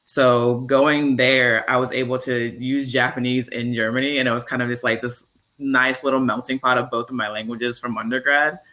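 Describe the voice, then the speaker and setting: Bright-voiced young man on a panel. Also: medium pitch, moderate pace. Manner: relaxed, filler-heavy storytelling. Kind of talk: panel discussion.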